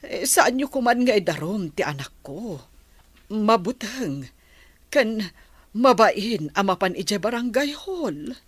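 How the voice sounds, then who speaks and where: A woman's voice: mid-range voice, big pitch swings, slow pace, pauses often, slightly rough, dry recording, full character voice. A woman playing a humble, protective mother who pleads for her child in a radio drama. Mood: humble, hesitant. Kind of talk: Ilocano radio drama acting.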